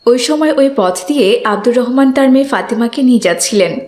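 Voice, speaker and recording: A woman's voice: a little high, expressive, brisk, a little mellow. A woman narrating a children's moral cartoon in a scripted storytelling style.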